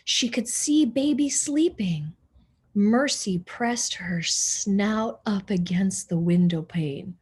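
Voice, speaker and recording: A woman reading a children's story aloud as a teacher, deliberate and expressive for young listeners. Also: mid-range voice, breathy edge. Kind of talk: storybook read-aloud narration.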